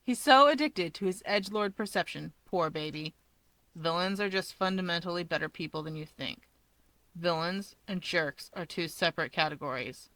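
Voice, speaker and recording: Bright-voiced woman reading a story aloud. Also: on the low side, animated, moderate pace, no room sound, rough recording. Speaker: fan fiction reader. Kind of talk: expressive story narration with character voices.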